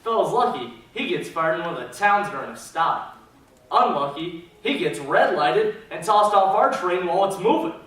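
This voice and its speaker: A man's voice: high voice, expressive, moderate pace, fairly bright tone. A man playing a brash circus ringmaster in a readers theater performance, declaiming theatrically. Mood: hard-bitten. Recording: very echoey hall, distant microphone.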